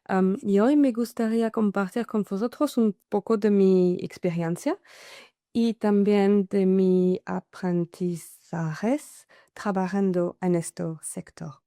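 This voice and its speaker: A woman from France with a French accent speaks Spanish as a second language, giving an online masterclass presentation and often pausing with "am" and "so". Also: medium pitch, fairly even intonation, dark tone, no room sound, studio quality. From Paris, France. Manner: hesitant, with many "am" and "so" fillers. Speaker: guest speaker, consultant.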